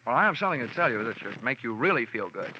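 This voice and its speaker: A wisecracking hard-boiled investigator. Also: male voice, medium pitch, sing-song, fast talker, bright, forceful tone, slight background noise. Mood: wry. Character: wisecracking male insurance investigator, dry and sardonic. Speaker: narrator and lead detective. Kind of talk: 1950s radio drama acting. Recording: dull old radio recording with music.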